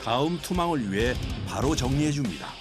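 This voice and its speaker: A man's voice: medium pitch, very animated, brisk. A man reading scripted documentary narration in Korean, with music playing behind him. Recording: loud music bed behind voice.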